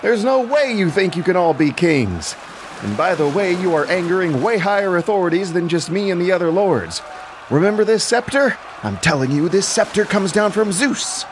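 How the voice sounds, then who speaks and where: A man's voice: high-pitched, sing-song, moderate pace, noisy background, voice pushed up. A man playing a wily, commanding ancient warrior king, emphatic, persuasive and scolding, in an audio drama.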